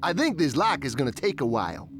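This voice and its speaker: A man voicing a clueless young surfer-dude slacker, slangy and excitable, in a cartoonish video game. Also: medium pitch, quick pace, music in the background. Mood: bragging, clueless. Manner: slangy, drawling, overexcited.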